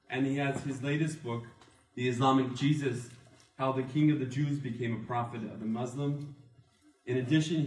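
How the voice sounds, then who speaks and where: A man's voice: mid-range voice, a little mellow. A man hosting an event, giving a hesitant formal introduction from a podium with many fillers. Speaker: event host introducing the speaker. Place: large bright lecture room, at a podium. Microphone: far camera. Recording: roomy lecture hall from far off, faint hum.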